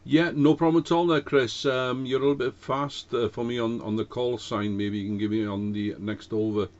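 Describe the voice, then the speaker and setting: A man's voice: medium pitch, steady tone, darkish tone. Man on dull-sounding radio. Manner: hesitant, courteous, pauses often. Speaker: amateur radio operator. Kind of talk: ham radio contact with spelled-out call signs. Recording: dull, band-limited amateur radio audio.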